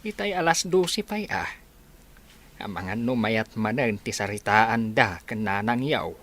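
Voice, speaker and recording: A man's voice: fairly low pitch, expressive, unhurried, fairly bright tone. Swooping, grumbling old grandfather. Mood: explaining gently. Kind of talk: radio drama acting.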